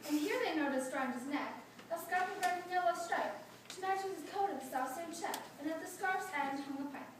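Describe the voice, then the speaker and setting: A woman's voice: very high voice, brisk. A woman narrating a verse tale as part of a readers theatre performance. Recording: distant, very echoey room, faint noise.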